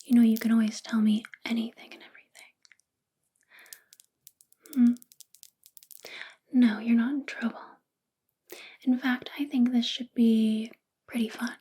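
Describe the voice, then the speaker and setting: A woman playing a possessive, controlling female boss in a soft-spoken, whispery ASMR roleplay, coaxing and intimate. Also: on the high side, level tone, measured pace, pauses often. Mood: sweetly controlling. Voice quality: breathy, whispery.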